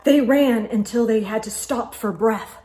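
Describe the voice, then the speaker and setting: A woman reading a children's story aloud with expressive narration. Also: fairly high pitch, measured pace, a little hiss or hum.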